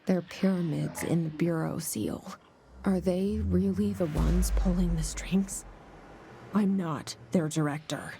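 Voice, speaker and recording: An actress playing a guarded, introspective young reluctant leader in a hushed, wary inner monologue. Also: female voice, lowish voice, steady tone, slow pace, vocal fry. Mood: uneasy, reflective. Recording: loud background noise.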